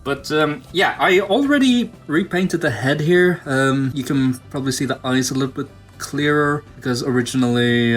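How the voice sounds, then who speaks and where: Guy rambling casually while doing hobby stuff, medium pitch, kinda self-deprecating, thinking out loud, music in the back. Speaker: toy customizer, channel host.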